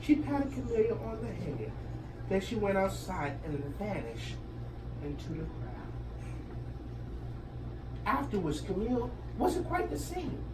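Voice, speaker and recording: A woman reads a children's book aloud with expressive, widely varied intonation. Her pitch is middling. The microphone is distant, in a reverberant room with noticeable noise. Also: measured pace, long pauses. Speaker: storyteller reading aloud to children. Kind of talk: expressive interactive picture-book read-aloud. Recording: roomy, noisy, distant microphone.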